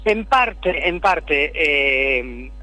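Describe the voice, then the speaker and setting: A middle-aged man from Spain, a city councillor, explaining politics in a measured way, often repeating words as he searches for them. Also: on the high side, expressive, bright, forceful tone, dry recording. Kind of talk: political interview over the phone.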